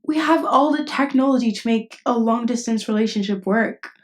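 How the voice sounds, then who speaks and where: Actress as a high-pitched, protective best friend. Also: female voice, moderate pace, lightly acted. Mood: indignant. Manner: caring, blunt, exasperated, casual speech. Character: protective, indignant female best friend comforting someone. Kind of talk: ASMR roleplay, intimate conversational acting.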